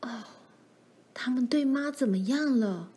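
A woman voicing a frightened but brave young child in a storybook, in urgent, hushed, hoarse whispers. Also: fairly high pitch, moderate pace. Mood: anxious.

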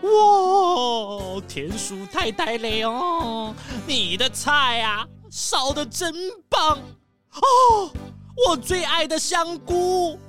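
A woman's voice: high-pitched, lively intonation, extremely slow, fairly bright tone, soft background music, exaggerated voice. Woman playing a fussy, swooping squirrel tycoon. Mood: delighted. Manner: fussy, exclamatory, repeats words. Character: rich male squirrel tycoon, fussy, anxious, food-loving. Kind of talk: children's audio story acting.

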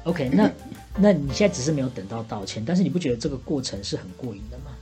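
A woman's voice: rumbling low, big pitch swings, fast talker, dark tone, faint music behind. A woman with a Taiwanese Mandarin accent co-hosting a casual podcast chat in a teasing, assertive way. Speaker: podcast co-host playing bartender.

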